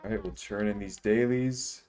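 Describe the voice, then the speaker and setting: A young man in his twenties with a deep, low voice, rambling and joking in a relaxed way as he streams a game. Faint game music plays behind him. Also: moderate pace. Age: 20s. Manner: laid-back, joking, rambling. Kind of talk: casual live-stream chat while gaming.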